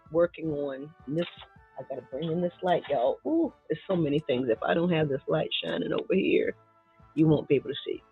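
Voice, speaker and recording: A woman's voice: fairly low pitch, husky. Nasal woman chatting on a live stream. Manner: chatty, laughs often, talks to viewers as "y'all" and "baby". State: congested. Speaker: art teacher live-streaming a painting lesson. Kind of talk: casual live-stream chat while setting up. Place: home art studio. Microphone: desk microphone near the table. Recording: tinny, narrow-band live-stream audio.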